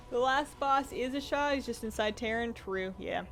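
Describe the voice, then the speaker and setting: A woman's voice: on the high side, expressive, a little slow, a bit bright, faint music behind. A woman game streamer chatting casually and rambling as she plays, her voice a little strained from talking. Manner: chatty, rambling, reads viewer comments aloud. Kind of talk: casual livestream chat while gaming.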